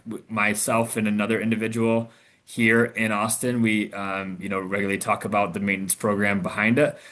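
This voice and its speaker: Bright-voiced halting man from Michigan. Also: lowish voice. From Michigan, USA. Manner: halting, many restarts and fillers. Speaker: investor guest. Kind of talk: answering audience questions on a video call.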